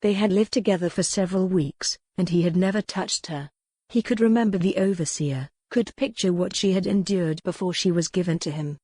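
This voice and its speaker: A woman's voice: lowish voice, fairly quick, mellow, dry recording. A woman reading scripted narration as the narrator of a romance audiobook.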